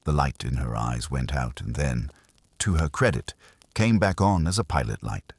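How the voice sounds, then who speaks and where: A man reads detective fiction in a very deep voice with a husky grain. His delivery is measured and wry, yet the pitch moves in lively swings. The recording is close and dry. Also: dry recording. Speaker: audiobook narrator. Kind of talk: scripted first-person detective fiction narration.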